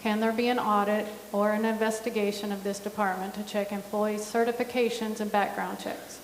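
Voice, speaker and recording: Flat-voiced woman moderator, echoey hall. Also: mid-range voice, brisk. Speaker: debate moderator. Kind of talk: reading debate questions. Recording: echoey hall with faint hiss.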